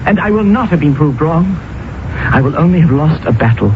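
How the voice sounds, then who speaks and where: Radio drama lead: determined colonial doctor, slightly high male voice, earnest, commanding, thin noisy recording. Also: lively intonation, fairly quick, phone-quality sound. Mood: impassioned. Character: resolute, idealistic male doctor in colonial India. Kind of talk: old-time radio drama acting.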